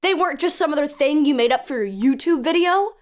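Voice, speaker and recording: Earnest, stern protector for audio drama; higher-pitched, emphatic, urgent delivery. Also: female voice, lively intonation, fast talker. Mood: outraged, scolding. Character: earnest, no-nonsense woman guiding a reckless youngster.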